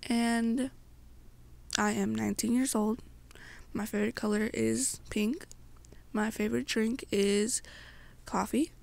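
Young woman, ASMR storytelling, slow pace, slightly high pitch, halting phrasing. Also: fairly even intonation, vocal fry, a little mellow. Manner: halting, pausing between short phrases. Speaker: amateur roleplay narrator. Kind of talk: ASMR story narration addressed to the listener.

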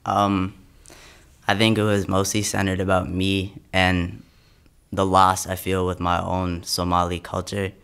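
A man's voice: low-pitched, completely flat delivery, a little slow, gravelly, fairly bright tone, smooth. A young man from the United States, a spoken word poet, recorded close on a studio microphone. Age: young adult. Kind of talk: spoken word poetry and interview answers. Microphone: close studio condenser microphone.

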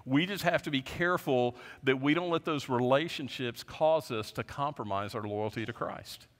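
A man in his fifties, a pastor preaching a sermon from a lectern in a large church, earnest, often dropping his voice low at the end of a point. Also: moderate pace. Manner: earnest, gestures widely, voice drops low at ends of points. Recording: long reverb of a large sanctuary.

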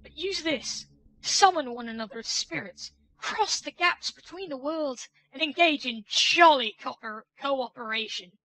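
A man reading game dialogue in a high, sing-song falsetto as an earnest, cheerful female knight who worships the sun, speaking grandly and confidently. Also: lively intonation, a little slow, sharp tone, no echo, heavily acted, poor audio. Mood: cheery, grand. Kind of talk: reading a game's dialogue aloud. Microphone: headset.

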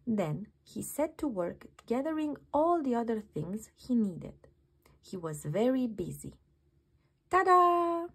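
Animated, unhurried woman reading a storybook. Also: a little high, husky. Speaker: children's book reader. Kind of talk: expressive bedtime story read-aloud.

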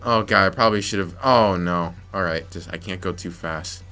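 Young male let's-player, raspy from yelling, bright voice, faint game music underneath. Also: deep voice, moderate pace. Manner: frustrated, muttering to himself, sudden yelps. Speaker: gameplay commentator. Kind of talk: let's play commentary.

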